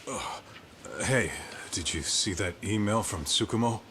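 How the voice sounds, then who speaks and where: Casual detective partner. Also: male voice, lowish voice, unhurried, bright, forceful tone, a little hiss or hum. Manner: casual, urgent. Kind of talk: video game cutscene dub.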